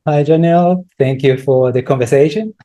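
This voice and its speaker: Mellow-voiced man, searching for words. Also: on the high side, normal speed, soft background music. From Brazil. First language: Brazilian Portuguese. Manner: searching for words, many restarts, thoughtful. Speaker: guest, software entrepreneur. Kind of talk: casual interview answers.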